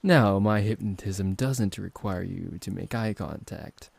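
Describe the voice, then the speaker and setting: A man playing a possessive, seductive vampire professor in an intimate ASMR roleplay, teasing and dominant, with a gravelly, husky voice. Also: sits low, no echo, heavily acted. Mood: commanding. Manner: slow, hushed, coaxing, condescendingly affectionate.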